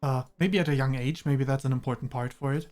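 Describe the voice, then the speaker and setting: A man's voice: medium pitch, fairly even intonation, fairly quick, darkish tone. A young man from Germany, a native German speaker with a slight British-American mix in his English, chatting casually and hesitantly while streaming a game. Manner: rambling, hesitant, laughs often. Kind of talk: casual gameplay chat.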